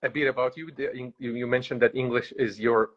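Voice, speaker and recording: A husky-voiced man hosts an interview, working through long questions with frequent "uh"s and restarts. His pitch sits in an ordinary middle range. The recording sounds dull and lo-fi. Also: fairly even intonation, a little fast. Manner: hesitant, many filler sounds and restarts. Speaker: host.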